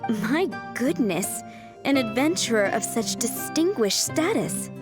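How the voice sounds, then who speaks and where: A polite maid hostess from a game, highish voice, formal, music playing behind. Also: female voice, very animated, takes it slowly. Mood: gracious. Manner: courteous, formal. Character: courteous adult head housemaid, gracious hostess. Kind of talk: video game voice acting.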